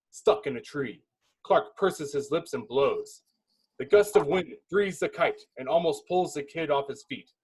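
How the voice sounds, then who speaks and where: A man's voice: on the high side, slightly rough, low-quality recording. A man giving dramatic scripted narration, reading a film script's stage directions.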